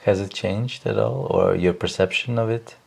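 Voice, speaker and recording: A male interviewer asking short, quiet questions from away from the microphone. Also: on the low side, steady tone, normal speed, creaky, husky.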